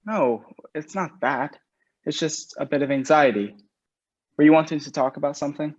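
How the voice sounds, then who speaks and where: A man's voice: on the high side, normal speed, darkish tone, natural voice. A man reading a nervous young groom in a virtual staged reading, with an anxious, guarded delivery. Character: nervous groom in his twenties. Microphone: video call. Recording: muffled lo-fi video call audio.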